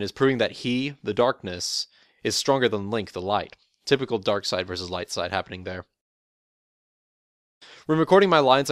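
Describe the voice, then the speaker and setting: Young man with a deep voice. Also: a bit bright, no room sound, clean studio sound. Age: young adult. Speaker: voice actor. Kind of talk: prepared interview monologue. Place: college dorm room.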